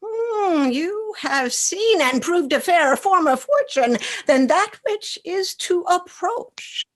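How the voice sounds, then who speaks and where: A woman acting a measured attendant in a Shakespearean radio play, speaking deliberately and expressively. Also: very high-pitched, lively intonation, full character voice. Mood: mysterious. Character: measured soothsayers, messengers and loyal male soldier.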